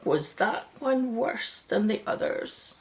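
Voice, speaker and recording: A woman's voice: on the high side, lively intonation, slow pace, creaky, heavily acted. A woman playing a practical, polite, reassuring mother in a radio play.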